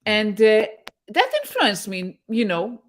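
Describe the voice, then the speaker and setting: A middle-aged woman from Israel, a guest on a video-call interview, speaking thoughtfully with many pauses and fillers. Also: medium pitch, very animated. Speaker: guest, tech mentor.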